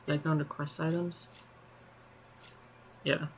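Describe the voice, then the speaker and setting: A man's voice: on the high side, level tone, a little slow, fairly bright tone, a little hiss or hum, rough recording. A man streaming a game, chatting casually and wryly about the story. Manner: chatty, wry, joking.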